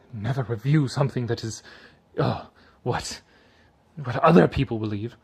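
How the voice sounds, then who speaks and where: A man with a slightly high voice reads an article out dramatically and comments on it as he goes. His delivery is animated and sarcastic, and the sound is close and dry. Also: breathy edge, dry recording.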